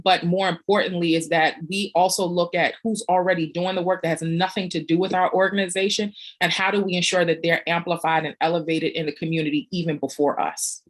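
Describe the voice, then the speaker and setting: A woman speaking on a panel as a community organizer, earnest and reflective, pausing with frequent fillers. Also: on the low side. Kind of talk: panel discussion.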